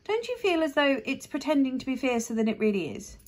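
Curious, bold young girl, higher and eager; woman reader voices her. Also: sing-song, fast talker. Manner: eager, questioning, polite. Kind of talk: storybook reading.

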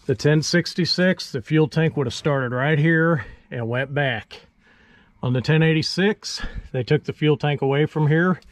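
A man's voice: a little high, no echo. A man hosting a farm video, casually explaining tractor features in a plainspoken, folksy way and thinking aloud as he goes.

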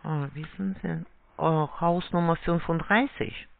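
A man with a creaky voice sells over a tinny phone line. He talks slowly and haltingly, with non-native phrasing, as he takes down an order. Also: fairly high pitch, steady tone, a little hiss or hum. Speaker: call centre sales agent on the phone. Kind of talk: sales call, taking an order.

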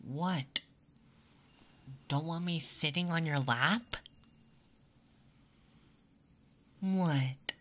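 Slow, teasing tomboy played by a woman. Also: deep voice, a bit bright, a little hiss or hum. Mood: flirty, bossy. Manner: teasing, drawling, slipping into whispers. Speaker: ASMR roleplay performer. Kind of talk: ASMR roleplay, intimate second-person acting.

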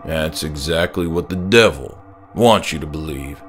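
A man's voice: a bass voice, normal speed, over music, pitched below the performer's own voice. A man voicing a stubborn, devout middle-aged father with a folksy, stern, preachy manner in an audiobook. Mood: calm conviction.

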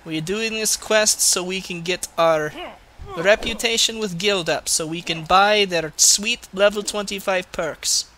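A man's voice: high voice, measured pace, sharp tone, a little hiss or hum, poor audio. A man putting on a Russian accent as a crude, boastful, grumbling gamer, giving comic gameplay commentary in broken English. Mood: annoyed. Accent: put-on Russian. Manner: crude, swearing, mock-menacing, broken English.

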